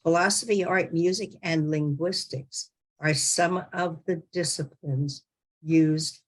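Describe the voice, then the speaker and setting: A woman hosting a talk show, reading a measured introduction before handing over to her guest. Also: low voice, fairly even intonation. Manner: measured, then laughing and quick at the handover. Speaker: host. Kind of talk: scripted introduction then casual handover.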